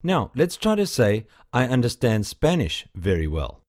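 A man guides learners through a language course in scripted, patient prompts. He takes his time and lets his pitch move freely from line to line. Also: huge pitch swings, slow pace, a little mellow. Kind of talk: scripted instruction.

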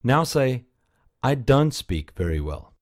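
Slow, lively-voiced male course narrator. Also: medium pitch. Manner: patient, encouraging prompts. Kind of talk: scripted instruction.

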